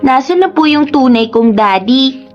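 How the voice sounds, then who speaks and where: A woman's voice: sits high, expressive, unhurried, dull audio, soft background music. A woman playing an innocent, polite young boy in a radio drama, with a childlike, pleading way of talking. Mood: hurt, questioning. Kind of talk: Tagalog radio drama acting.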